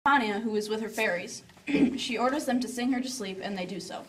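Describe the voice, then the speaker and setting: A woman with a fairly high voice narrates a scripted story, dropping in playful asides. She sounds a little removed from the microphone, with faint noise behind her. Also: fairly quick, fairly bright tone. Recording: slightly distant, faint background noise.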